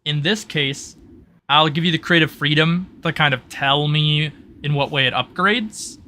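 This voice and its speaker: A man working as game master, explaining tabletop roleplay rules in a halting way, thinking aloud. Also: a little high, bright, forceful tone.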